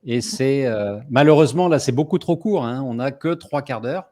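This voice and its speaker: A man's voice: medium pitch, lively intonation, moderate pace, dark tone. A middle-aged man hosting a live online summit over a video call, telling stories in a chatty way full of hesitations. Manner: chatty, many fillers, storytelling. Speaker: host.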